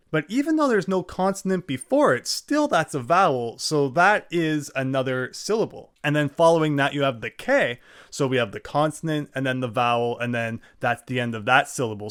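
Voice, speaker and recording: A man teaching a language lesson, explaining clearly and sounding out syllables slowly. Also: a little high, a bit bright, no room sound, studio quality. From English-speaking. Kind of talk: scripted lesson narration.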